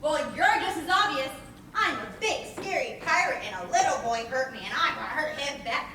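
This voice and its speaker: A woman's voice: sits high, animated, a little fast, bright, forceful tone, slight background noise. A woman acting a defiant, headstrong young boy on stage, emphatic, earnest and combative in a projected delivery. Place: indoor playhouse stage. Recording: echoey theatre, far mic, music behind.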